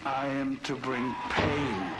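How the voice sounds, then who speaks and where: An actor playing a sinister, fanatical wartime scientist in a game cutscene, with a theatrical, deliberate, sadistic delivery. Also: male voice, mid-range voice, heavy background noise, close to an everyday voice. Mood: fanatical, gloating. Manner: theatrical, deliberate, drawn out, swelling from hushed to intense. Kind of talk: dramatic villain monologue from a game cutscene.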